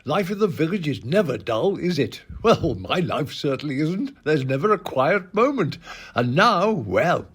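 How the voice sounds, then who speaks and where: Casting: male actor as genial village reverend, comic promo. Avuncular, chatty, animated, slightly raspy. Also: a little high, measured pace, exaggerated voice. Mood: jovial. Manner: jovial, avuncular. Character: genial, chatty male village vicar plugging his book.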